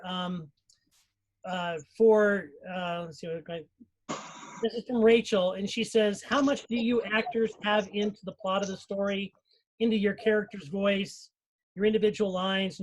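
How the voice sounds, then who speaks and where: A woman's voice: medium pitch, fairly even intonation, a little slow, a little mellow, poor audio. A woman hosting a live online cast panel, moderating casually and reading out audience questions. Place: live online group stream.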